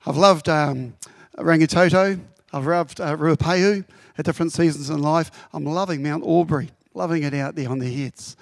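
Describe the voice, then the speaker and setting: A man's voice: on the high side, normal speed, gravelly, dry recording. A man from Papua New Guinea, who grew up speaking Tok Pisin, addressing a church congregation as its incoming pastor in a heartfelt, fervent way.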